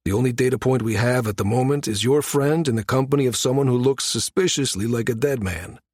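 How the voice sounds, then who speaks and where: A man plays a firm station security chief in a science fiction audiobook. He speaks with measured, matter-of-fact authority at a middle male pitch. The recording is very dry. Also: darkish tone, husky, no room sound, close to an everyday voice. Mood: measured, conceding. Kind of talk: audiobook character dialogue.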